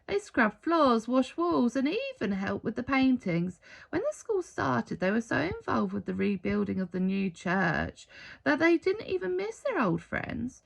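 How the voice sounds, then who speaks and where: A woman reads a children's storybook aloud. Her voice sits a little high, moves in lively swings of pitch and carries a heavy fry throughout. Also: unhurried. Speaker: storybook reader. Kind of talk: expressive children's read-aloud.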